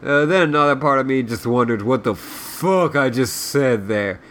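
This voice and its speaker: A man's voice: medium pitch, moderate pace, a bit bright, close to an everyday voice. A man voicing a surly, self-loathing sprite guide who rambles sarcastically and swears as he insults, in a comic read-aloud. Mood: rambling, self-loathing. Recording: clearly noisy background.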